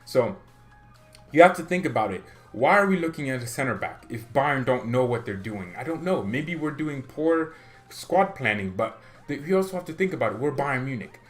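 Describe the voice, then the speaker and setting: A man's voice: medium pitch, animated, normal speed. Chatty opinionated young man, faint music. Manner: chatty and opinionated, rambling with restarts, gets heated. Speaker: fan channel host. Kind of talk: casual solo commentary.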